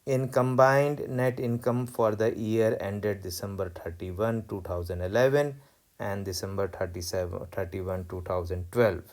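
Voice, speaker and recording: Slow-paced man giving an accounting lecture. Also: lowish voice, fairly even intonation, a little mellow. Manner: deliberate, repeats key points. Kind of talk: instructional lecture, explaining worked exercises step by step. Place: voice-over recorded over tablet screen.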